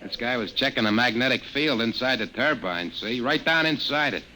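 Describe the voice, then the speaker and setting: An actor playing a gruff, blunt male security guard in an old-time radio drama, talking in clipped, colloquial phrases. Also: medium pitch, moderate pace, sharp tone, poor audio. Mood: brusque, worldly. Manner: clipped, colloquial, matter-of-fact. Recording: vintage radio, faint noise.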